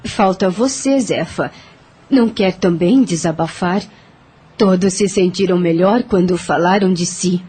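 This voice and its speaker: A woman playing a calm, wise counselor of spirits in an audio drama, with a husky voice and measured, soothing moralizing. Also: lowish voice, slight background noise, natural voice. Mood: calm, counseling.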